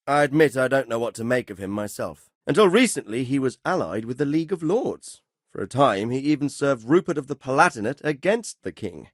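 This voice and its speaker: A man's voice: mid-range voice, dry recording. An authoritative medieval nobleman, close and dry. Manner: authoritative, measured, formal. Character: measured, fatherly medieval nobleman, middle-aged, stern and shrewd. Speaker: lord giving orders and counsel. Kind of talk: dramatic video game dialogue.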